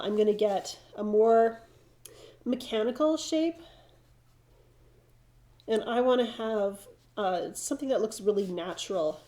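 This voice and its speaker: A middle-aged woman with a slightly froggy, stuffed-up voice from hay fever, thinking aloud as she demonstrates watercolor painting. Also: mid-range voice. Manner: relaxed, thinking aloud while painting, frequent restarts. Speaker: watercolor instructor.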